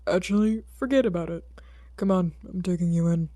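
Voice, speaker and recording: A woman voicing a gruff, tired adult male hero in an audiobook, grumbling in a curt deadpan. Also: lowish voice, fairly quick, dry recording, lightly acted. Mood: curt, weary. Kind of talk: audiobook character acting.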